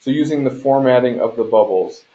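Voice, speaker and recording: Male instructor, mid-pitched and mellow, explanatory delivery with frequent check-ins, muffled conference-call sound. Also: fairly quick. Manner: explanatory, often checks in with "right?". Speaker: game design instructor. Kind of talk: instructional lecture over a shared screen. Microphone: conference call microphone. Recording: dull, lo-fi conference call audio.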